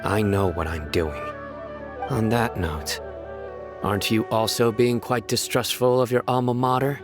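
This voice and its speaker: A male actor's wary, serious official from a video game, pitched on the low side. He speaks formally and with measured gravity. Prominent music plays behind the voice. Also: steady tone, lightly acted. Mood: grave, guarded. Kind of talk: scripted video game voice acting.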